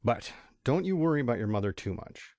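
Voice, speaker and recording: A man playing a weary, reassuring pony father in an amateur audio drama, calm and confiding. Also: medium pitch, brisk, no echo, studio quality, natural voice.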